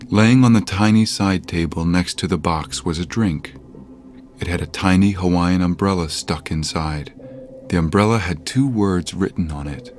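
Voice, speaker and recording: A man narrating a suspense audio drama as a composed, deliberate storyteller. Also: low voice, slight background noise, natural voice. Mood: measured suspense.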